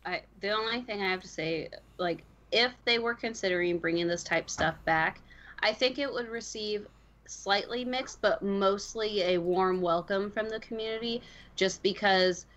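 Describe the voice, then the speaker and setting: Female game podcaster with an average-pitched voice, casual and blunt, stressing her points as she argues. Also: normal speed, fairly bright tone, dull audio. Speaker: podcast panelist. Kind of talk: casual panel discussion about a video game.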